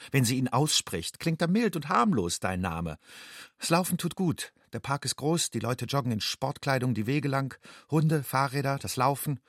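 Haunted secretive young migrant guy, radio play monologue, husky and dry, terse inward phrases. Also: mid-range voice, animated, normal speed, no echo. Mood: agitated. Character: haunted, secretive young undocumented man addressing himself as you. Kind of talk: literary second-person monologue in a radio play.

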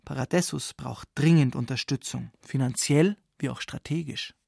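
A man's voice: mid-range voice, moderate pace, vocal fry, warm tone, husky, no echo, studio quality. A man hosting a radio programme with wry, ironic scripted narration, often putting things as rhetorical questions. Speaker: radio show host.

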